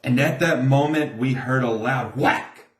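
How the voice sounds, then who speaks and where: A man's voice: medium pitch, a little slow. A man reading a rhyming storybook aloud in a theatrical, emphatic way. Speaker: storybook reader. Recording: echoey room.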